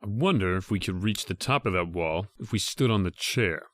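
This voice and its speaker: An actor playing a gruff, uneasy crook's accomplice in a slangy, grumbling old-time radio horror style. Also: male voice, low-pitched, expressive, a little fast, fairly bright tone, no room sound, clean studio sound. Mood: skeptical, irritated.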